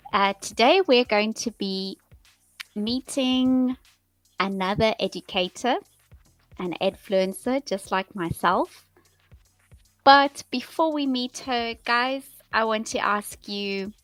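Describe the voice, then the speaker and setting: A woman hosts a live stream as a teacher, speaking very slowly and repeating her questions for learners. The sound is dry and close, with faint music underneath. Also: a little high, dry recording, low-quality recording. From England. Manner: slow, friendly, repeats phrases to learners. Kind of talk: live-stream chat with viewers.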